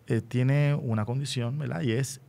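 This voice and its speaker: A man with a Puerto Rican accent hosting an unscripted radio interview, speaking deliberately and often checking in with short rhetorical questions. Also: medium pitch, fairly even intonation, vocal fry, warm tone. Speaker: radio host and school educator.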